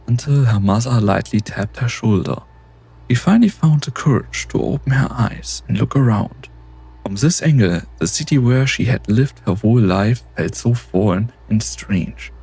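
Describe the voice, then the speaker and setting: A man's voice: mid-range voice, expressive, normal speed, dark tone, husky, rough recording. A man narrating a scripted story as the narrator of an amateur production.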